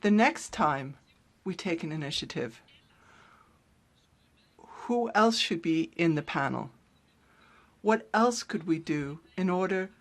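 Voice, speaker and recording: A woman giving a live interpretation of a speech, her delivery halting and broken into short phrases. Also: mid-range voice. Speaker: simultaneous interpreter.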